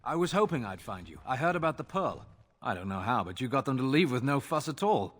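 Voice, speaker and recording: Husky, animated guard officer. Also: male voice, medium pitch, brisk. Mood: businesslike, grateful. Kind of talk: fantasy game voice acting. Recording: long reverb on the voice.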